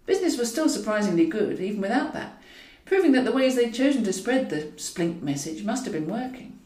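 A woman's voice: fairly high pitch, big pitch swings, a little fast, warm tone. A woman reads scripted fiction as a narrator, with a wry, wordy storytelling manner. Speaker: author reading own audiobook. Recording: roomy echo, distant from mic.

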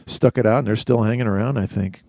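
A man co-hosting a podcast, giving casual album commentary in a halting but enthusiastic manner. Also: lowish voice, fairly even intonation, gravelly, warm tone, no room sound. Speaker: podcast co-host.

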